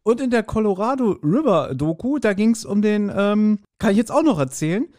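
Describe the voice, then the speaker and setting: A man with a voice somewhat higher than average talks as a podcast co-host. His delivery is casual and conversational. He recounts things jokingly and tends to chuckle. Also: lively intonation, moderate pace, darkish tone. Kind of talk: casual chat, recounting and discussing.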